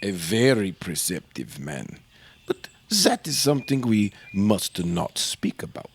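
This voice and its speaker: Secretive older male professor, played by a man for radio drama: formal, haughty, intense, deep-pitched and deliberate. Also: sing-song, a little hiss or hum, heavily acted. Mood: guarded, formal. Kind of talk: old-time radio drama acting.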